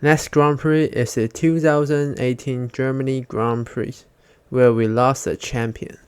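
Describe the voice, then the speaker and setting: A man's voice: medium pitch, steady tone, a little slow, slight background noise. A man reading scripted narration as a fan commentator, with non-native phrasing and grammar slips.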